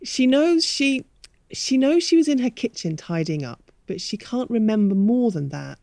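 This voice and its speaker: A woman's voice: a little high, sing-song, moderate pace, gravelly, mellow, no room sound. A woman playing a harried but polite manager of a retirement home in a radio play.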